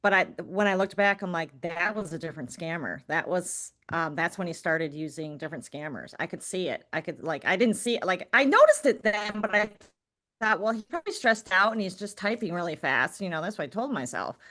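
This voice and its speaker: A woman's voice: fairly low pitch, lively intonation, a little fast, fairly bright tone. A young woman who wrote a book, speaking casually as an interview guest, her talk full of likes and ums. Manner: chatty, many fillers like um and like. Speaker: author guest.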